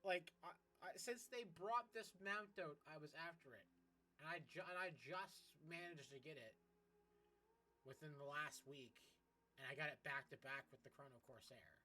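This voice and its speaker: A man's voice: a little high, moderate pace, bright, forceful tone, rough recording. A man streaming a game, explaining things casually in a rambling, emphatic way with repeated points. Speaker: gaming streamer. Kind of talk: casual gameplay chat, explaining.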